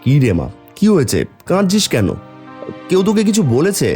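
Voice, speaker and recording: Guy doing a gentle cartoon village dad, mid pitch, really expressive, faint music behind. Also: brisk. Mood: concerned. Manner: caring, expressive. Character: kindly village father and husband, concerned, gentle. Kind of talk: Bengali fairy-tale cartoon voice acting.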